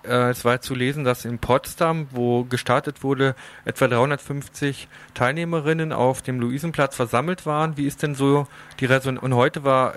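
A man's voice: mid-range voice, a bit bright. A man presenting live radio news and interviews in an informal, slightly hesitant manner.

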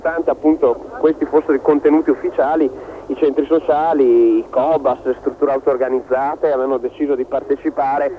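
A man's voice: mid-range voice, steady tone, fast talker. A man giving spontaneous answers as an interviewed demonstrator. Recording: tinny phone-like line, faint noise.

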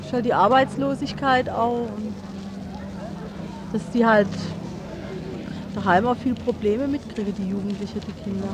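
A woman's voice: mid-range voice, moderate pace, pauses often. A woman giving spontaneous, sceptical and resigned answers as a resident in an interview. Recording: noisy outdoor street recording.

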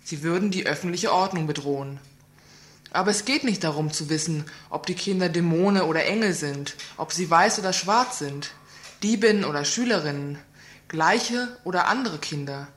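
A woman's voice: fairly low pitch, normal speed. A woman reading a scripted report on a community radio news program, asking pointed rhetorical questions.

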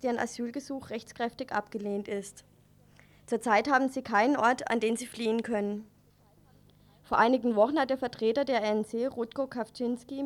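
Higher-pitched woman reading radio news.

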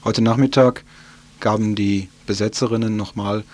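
A man's voice: on the low side, steady tone, normal speed, darkish tone. A man presenting radio news from a script in a sober, matter-of-fact way.

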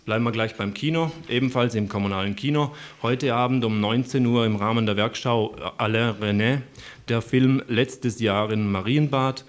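A man's voice: mid-range voice, steady tone, moderate pace. A man presenting live event listings on community radio, informally and with chatty asides. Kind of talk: live community radio announcements, part improvised.

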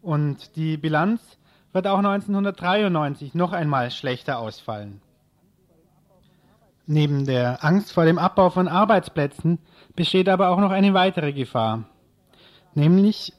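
A male radio reporter with a fairly high-pitched voice reads a prepared news report. The delivery is scripted and informational, built on full written sentences.

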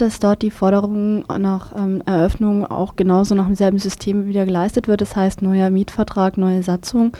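A woman's voice: mid-range voice, dark tone. A woman working as a local radio reporter, interviewing and summarising in an informal, unpolished way. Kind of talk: semi-scripted local radio news report.

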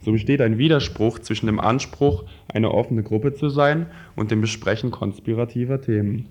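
A man's voice: medium pitch, normal speed, darkish tone. A man reading prepared political texts aloud in a scripted radio style.

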